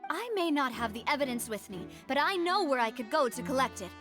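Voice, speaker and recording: A woman's voice: high voice, expressive, a little fast, over music. An actress playing a resolute young woman who leads a syndicate, speaking articulately in a video game courtroom drama. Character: resolute young woman, syndicate leader arguing for her dead father.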